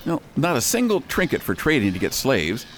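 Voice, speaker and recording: A man's voice: mid-range voice, huge pitch swings, a little fast, a bit bright, a little hiss or hum, poor audio. A man playing a polite, loyal shipping clerk in a radio drama, courteous and eager to please. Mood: deferential.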